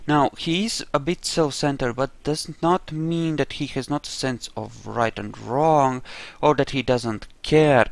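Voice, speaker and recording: A man with an average-pitched voice hosts a video game playthrough. He talks casually and without hurry, explaining the character he plays and tossing trash talk at enemies. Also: no room sound. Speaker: gamer, playthrough host. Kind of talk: casual role-play game commentary.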